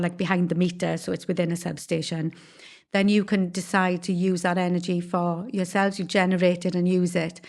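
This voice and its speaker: A woman's voice: fairly low pitch, gravelly. A woman, a business owner interviewed as a podcast guest, explaining things steadily, step by step, with examples. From Wales.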